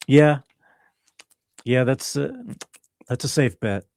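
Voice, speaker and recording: A man chatting casually as an interview guest, explaining his creative work in a laid-back way with pauses. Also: mid-range voice, a little mellow, dry recording. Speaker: interview guest, composer and musician. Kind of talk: casual conversational interview talk.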